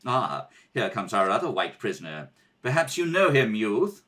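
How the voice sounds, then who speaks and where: Imperious rebel prince played by a man: low, stern, suspicious, commanding radio villainy. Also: big pitch swings, moderate pace, a bit bright. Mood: cold. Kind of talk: old radio serial acting.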